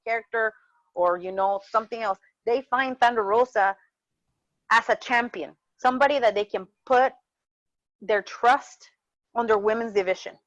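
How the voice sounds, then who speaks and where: A woman's voice: fairly high pitch, a bit bright, no room sound. Passionate Mexican-accented woman, muffled sound. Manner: passionate, repeats words while finding them. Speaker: wrestling champion guest. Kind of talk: casual interview chat. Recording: dull muffled dry sound.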